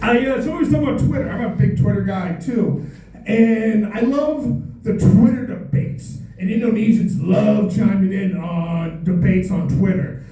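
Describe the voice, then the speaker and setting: An American man with a very high, mellow voice performing stand-up in a club. He is heard from a distance in an echoey room, and his delivery is playful and mock-exasperated. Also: normal speed. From United States. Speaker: stand-up comedian. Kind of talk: stand-up comedy set. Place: comedy club stage with live audience. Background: audience laughter.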